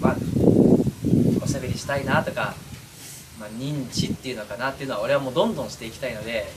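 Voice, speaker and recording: A man speaking as a streamer and voice actor, chatting casually and earnestly to his fans in an intimate, pausing way. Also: medium pitch. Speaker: voice actor and streamer addressing listeners. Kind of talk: casual, heartfelt chat to fans. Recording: distant mic, clearly noisy background.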